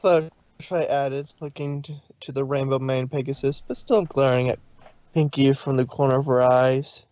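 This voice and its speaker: A man's voice: mid-range voice, fairly even intonation, normal speed, darkish tone, no room sound. Man narrating a fan reading, dry lo-fi. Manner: plain reading with slips and laughs. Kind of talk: casual fan-fiction reading on a stream. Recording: dull dry lo-fi stream audio.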